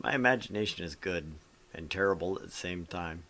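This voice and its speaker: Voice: low-pitched, a little fast, a bit bright, a little hiss or hum. A host giving casual, sweary commentary while playing through a game. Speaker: host reading a game aloud.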